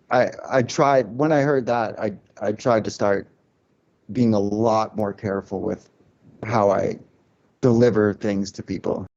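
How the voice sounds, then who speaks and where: A man with a low voice is guest on a casual podcast, talking frankly and reflectively about his label years. He circles back on himself, with plenty of restarts. The audio sounds muffled. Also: darkish tone. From Cuban family, grew up in a loud-talking city. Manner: candid, reflective, many restarts and "like" fillers. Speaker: record label founder.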